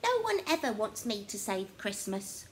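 A woman voicing a brash, boastful, easily wounded little creature in a children's Christmas story, loud and theatrical. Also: medium pitch, very animated, normal speed, a little hiss or hum, close to an everyday voice. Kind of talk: children's story character reading.

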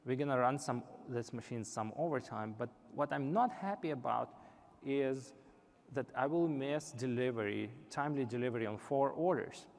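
A young man giving a live product demo on a conference stage, explaining earnestly with some restarts. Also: mid-range voice. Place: large indoor conference stage. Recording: reverberant conference hall.

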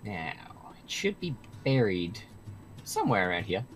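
Man playing a lively, matter-of-fact immortal guide. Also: lowish voice, normal speed, a little hiss or hum. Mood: serious, explaining. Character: long-lived supernatural man, knowledgeable, serious guide. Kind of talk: improvised Minecraft roleplay.

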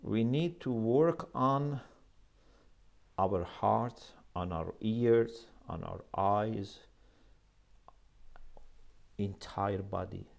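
Earnest gravelly middle-aged male scholar. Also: on the low side, extremely slow, long pauses. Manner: earnest, emphatic, gestures with his hands. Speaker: Islamic scholar lecturing. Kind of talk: religious lecture. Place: small office indoors. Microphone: lapel mic.

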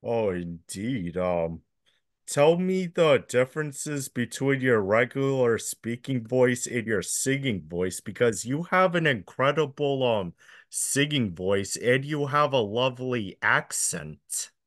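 A young man asks questions on a video call, speaking slowly and with many ums. His voice sounds dry and close, with hardly any room in it. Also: medium pitch, animated, a bit bright, no room sound. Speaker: interviewer. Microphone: video call, laptop webcam.

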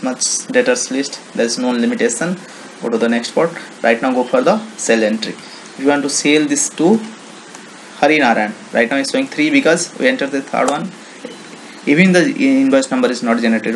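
A man with an Indian accent demonstrating software in an informal screen-recorded tutorial, with non-native English phrasing and frequent repetition. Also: mid-range voice. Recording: noisy home-made screen recording.

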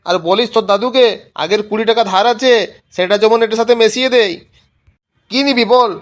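A man's voice: high-pitched, brisk, lightly acted. A man voicing a grumbling village sweet-shop keeper in a cartoon comedy, scolding and exasperated, repeating himself. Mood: flustered. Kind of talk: amateur cartoon comedy voice acting.

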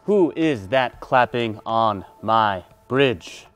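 A man voicing a mean, lumbering bridge troll who speaks in a slow, threatening way for a children's story. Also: medium pitch, expressive, a bit bright, soft background music, voice pushed down. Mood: menacing.

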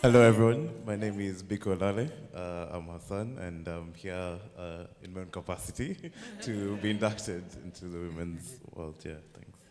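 A man's voice: sits low, normal speed, hardly any pauses, darkish tone. A young man introducing himself hesitantly with slight amusement at a conference. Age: young adult. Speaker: conference attendee. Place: large lecture hall. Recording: hall reverb.

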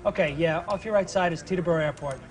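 An actor with an American accent playing a terse, anxious air traffic controller in a film. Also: male voice, high voice, fast, close to an everyday voice. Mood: urgent, tense. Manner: terse, urgent. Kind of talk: film acting. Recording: noisy film control room.

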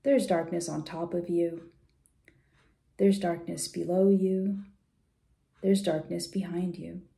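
Woman, guided visualization, very dark relaxed tone, slow pace, creaky, soft, gentle pauses. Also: fairly low pitch. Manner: soothing, gentle, unhurried with pauses.

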